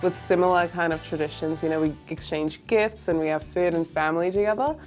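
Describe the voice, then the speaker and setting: An excitable woman chatting casually and exclaiming about food. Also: on the low side, a little fast, faint music behind. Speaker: participant in a food swap. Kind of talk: casual chat.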